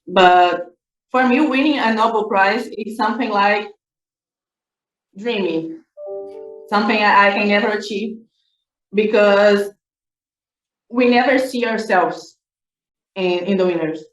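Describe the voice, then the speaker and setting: A woman's voice: medium pitch, slow pace, pauses often, reverberant, recorded from a distance, low-quality recording. A young woman scientist from Brazil with a Brazilian Portuguese accent, speaking earnestly as a student on a discussion panel.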